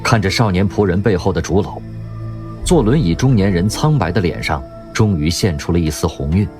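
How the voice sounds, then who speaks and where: A man narrating a radio drama in a vivid, expressive storytelling manner. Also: deep voice, a little fast. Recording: music underneath.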